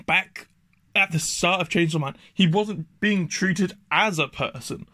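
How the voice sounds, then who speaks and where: A man presenting a video essay in a casual, thoughtful, pausing way. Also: high voice, pushed and bright.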